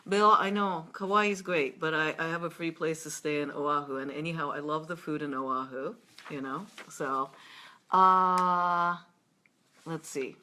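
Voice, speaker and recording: Playful, teasing woman, low-pitched with a bright edge, casual live-stream host. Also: fairly even intonation, slight background noise. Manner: playful, teasing, quick asides; slow breathy whisper when demonstrating a seductive voice. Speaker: sex educator hosting a live video chat. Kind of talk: casual chat. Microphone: webcam or laptop mic on a live stream.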